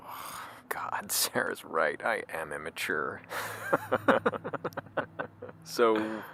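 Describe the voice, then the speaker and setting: A man's voice: low voice, gravelly, fairly bright tone, slight background noise, full character voice. An actor playing an easygoing, wry man in informal, slightly hesitant audio drama conversation. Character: easygoing, slacker-ish man meeting his identical double.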